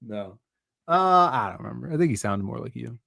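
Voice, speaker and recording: A man's voice: medium pitch, lively intonation, fairly quick, creaky, crowd noise. Laid-back man telling a story. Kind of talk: casual chat.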